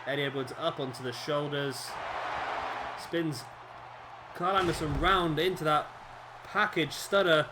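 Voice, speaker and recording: A man, his voice a little hoarse, doing casual play-by-play commentary over a wrestling video game, rambling with fillers and correcting himself. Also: fairly high pitch, steady tone, measured pace, long pauses, background noise. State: slightly hoarse, losing his voice. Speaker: gameplay commentator.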